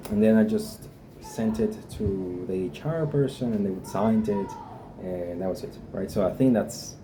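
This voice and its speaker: A young man from Guatemala, talking casually and hesitantly to camera about his visa process, with many fillers. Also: on the low side. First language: Spanish. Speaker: vlogger sharing a visa experience. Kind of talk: casual unscripted talk to camera. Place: a home in Spain. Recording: noisy room with a dog barking.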